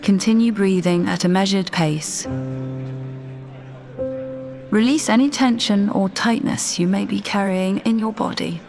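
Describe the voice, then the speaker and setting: A woman narrating a scripted guided meditation in a measured, deliberate, soothing manner. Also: medium pitch, gravelly, darkish tone. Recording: voice over background music. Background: music.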